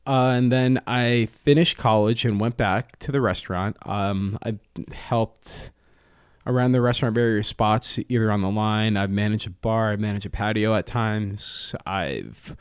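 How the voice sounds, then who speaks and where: A man's voice: lowish voice, measured pace, clear, resonant tone, no room sound, clean studio sound. Chatty young man, Italian native speaker. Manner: chatty, joking, many fillers. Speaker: podcast guest, restaurant owner. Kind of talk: casual interview talk. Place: podcast studio.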